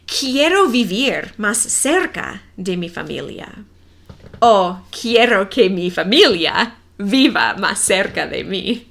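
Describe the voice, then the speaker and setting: A woman in her forties with a non-native accent, reading a simple story slowly and carefully for language learners. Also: medium pitch, big pitch swings, fairly bright tone. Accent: non-native Spanish accent. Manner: careful, deliberate reading with clear pauses. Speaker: Spanish teacher.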